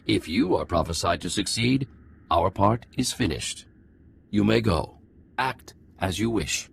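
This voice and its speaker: Solemn alien ruler for a game, cryptic and stately, gravel-throated, lively pitch movement. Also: male voice, unhurried, exaggerated voice. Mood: detached. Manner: stately, oracular short pronouncements. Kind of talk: dramatic video game acting.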